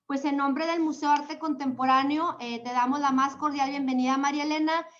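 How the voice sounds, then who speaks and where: High-voiced woman reading an introduction. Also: a little fast. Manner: formal, steady, occasional fillers. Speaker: museum host introducing a guest. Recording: dull lo-fi sound.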